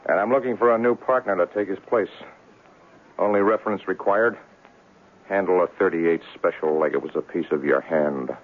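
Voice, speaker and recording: Hard-boiled noir detective played by a man, low voice, cynical and clipped, muffled old radio broadcast. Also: normal speed, faint music behind, close to an everyday voice. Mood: hard-boiled, narrating. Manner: clipped slangy tough-guy talk. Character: tough hard-boiled male private investigator, cynical and cocky. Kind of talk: 1970s radio drama acting, noir narration.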